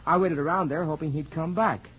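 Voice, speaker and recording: Earnest young college hero, old radio. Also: male voice, fairly high pitch, a little fast, a bit bright, breathy edge. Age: young adult. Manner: earnest, respectful. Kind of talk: 1940s radio acting. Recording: muffled, hissy 1940s radio recording.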